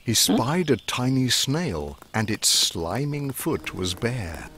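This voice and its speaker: A man narrating a rhyming children's bedtime story in expressive, measured verse. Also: mid-range voice, a little hiss or hum.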